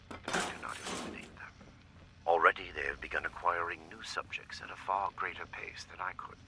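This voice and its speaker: Gravelly, cold, low-voiced scientist. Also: male voice, sharp tone, heavily acted, poor audio. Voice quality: gravelly, breathy. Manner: deliberate, measured, disdainful. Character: cold, arrogant, idealistic male scientist recording secret research logs. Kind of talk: dramatic video game audio log.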